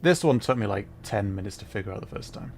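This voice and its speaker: A man hosting a livestream, giving casual, halting gameplay commentary as he thinks aloud. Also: lowish voice, expressive, creaky, slight background noise. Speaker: livestream host playing a video game.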